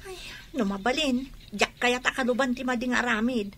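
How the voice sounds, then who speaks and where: An actress playing a blunt, scolding young friend in a radio drama, with an Ilocano accent. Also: female voice, fairly high pitch, normal speed, fairly bright tone. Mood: disapproving. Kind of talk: Filipino radio drama acting.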